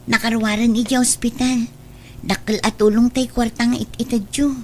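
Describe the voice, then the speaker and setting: A woman acting a loyal, gentle young friend in an Ilocano radio drama, soothing and deferential, with an Ilocano accent. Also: fairly high pitch, flat delivery, moderate pace, lightly acted. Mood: kind, deferential.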